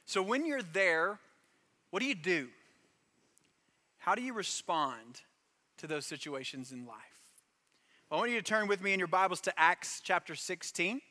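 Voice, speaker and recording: A man's voice: sits high, big pitch swings, normal speed, pauses often, bright, forceful tone. A man preaching a sermon as pastor, earnest and emphatic, repeating phrases for weight. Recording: long hall reverb.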